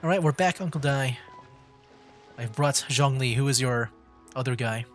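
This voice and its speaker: A man's voice: medium pitch, moderate pace, faint music behind. A man streaming a game with casual, easygoing, rambling commentary. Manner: easygoing, chuckling, rambling asides.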